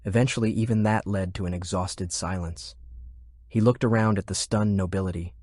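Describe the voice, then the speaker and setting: A man's voice: deep voice, steady tone, normal speed, a little mellow, no room sound. A man reading scripted fiction as an audiobook narrator, close to the microphone.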